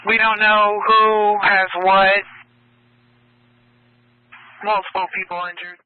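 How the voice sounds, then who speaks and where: A woman's voice: mid-range voice, flat delivery, takes it slowly, clear, resonant tone, no room sound. A woman emergency dispatcher over the radio, speaking in clipped phrases and repeating key details. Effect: radio transmission. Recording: tinny, narrow dispatch radio audio.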